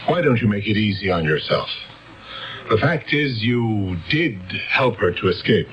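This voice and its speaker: An actor playing a shrewd, pressing male police lieutenant in a radio drama, deliberate and coaxing in delivery. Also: lowish voice, lively intonation. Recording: dull radio recording with music.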